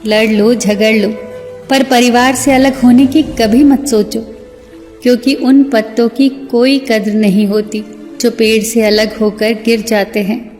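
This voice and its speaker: Slow, high-voiced woman narrator in heavy reverb. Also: fairly even intonation, a little mellow. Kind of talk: scripted motivational quote narration. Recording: long hall reverb, music bed. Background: music.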